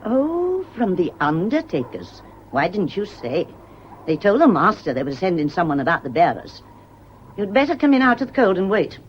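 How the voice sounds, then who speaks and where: A woman's voice: mid-range voice, sing-song, moderate pace, a little hiss or hum, lightly acted. An actress playing a devoted, prying woman servant, deferential yet pointed, in a period radio mystery. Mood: guarded, polite. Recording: dull, muffled old radio recording.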